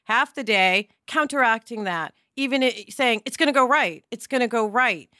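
A woman with a fairly high, very bright voice co-hosts a podcast in an informal, emphatic way. She is recorded close and dry, with faint music behind her. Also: lively intonation, moderate pace, no echo. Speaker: podcast co-host. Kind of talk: scripted intros and casual conversational talk.

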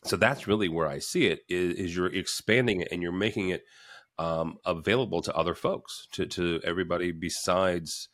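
Deep-voiced rambling Midwestern man. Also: normal speed, a bit bright, dry recording, clean studio sound. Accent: Midwestern American. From American Midwest. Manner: rambling, many restarts and fillers. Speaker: fill-in podcast host. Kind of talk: casual panel discussion.

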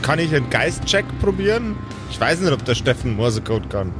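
A man's voice: mid-range voice, big pitch swings, brisk, music in the background. A man chatting casually and slangily as a player in an improvised role-playing game.

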